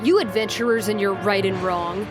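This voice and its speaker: A woman's voice: mid-range voice, loud music behind the voice, natural voice. A woman acting a game master who narrates theatrically and voices a smug, menacing villain in an audio drama. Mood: smug menace. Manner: theatrical, measured storytelling.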